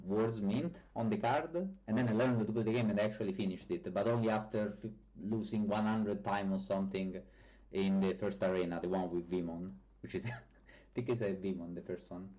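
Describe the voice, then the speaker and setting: Low, mellow man with an Italian accent. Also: rough recording. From Italy. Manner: answers viewer chat questions in a halting, loose way, often correcting himself. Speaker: developer streaming a demo of his bot. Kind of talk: casual live-stream chat.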